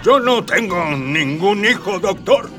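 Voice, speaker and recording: Haughty high-voiced emperor. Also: male voice, expressive, slow pace. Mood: haughty, indulgent. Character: capricious, self-serving pompous male emperor. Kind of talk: comic radio drama acting. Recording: music behind the voice.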